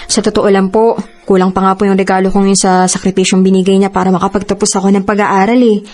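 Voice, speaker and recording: A woman's voice: medium pitch, level tone, fast talker, darkish tone, natural voice. A woman playing a polite, dutiful young Filipino daughter and elder sister in a Tagalog radio drama. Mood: earnest, grateful. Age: young adult. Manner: polite, earnest.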